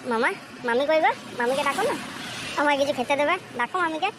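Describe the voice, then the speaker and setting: A woman's voice: high voice, fast, natural voice. A woman voicing a cheeky, hungry, scheming young boy in a comic cartoon, pleading and sly. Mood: wheedling. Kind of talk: amateur comic cartoon dubbing. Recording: faint background noise.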